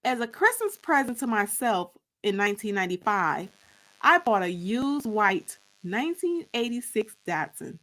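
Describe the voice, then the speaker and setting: A woman reading a first-person story as dramatic audiobook narration, expressive and deliberate, drawing out the ends of phrases. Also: medium pitch, low-quality recording. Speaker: author reading her book.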